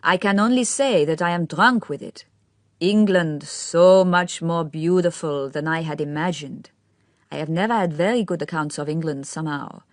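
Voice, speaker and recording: A woman's voice: low voice, moderate pace, dry recording, studio quality, close to an everyday voice. A woman reading the part of an affected, theatrical young man who gushes and stresses every syllable through a curious accent of his own. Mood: gushing. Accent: odd foreign-tinged accent of his own. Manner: gushing, every syllable overemphasized, speaking through a smile. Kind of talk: audiobook character reading.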